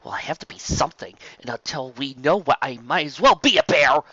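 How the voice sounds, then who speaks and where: A man's voice: medium pitch, animated, fairly quick, bright, forceful tone, slightly rough, no room sound. A man voicing an earnest young female pony turned beast, apologetic and soothing, in a storybook reading on a lo-fi recording. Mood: insistent. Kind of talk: acted storybook dialogue.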